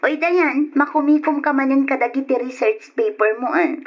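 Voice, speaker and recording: A woman's voice: sits high, normal speed, over music, lightly acted. An actress playing a cheerful, teasing female college student in an Ilocano radio drama, speaking with an Ilocano accent. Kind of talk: old radio drama acting. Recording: dull lo-fi radio drama recording.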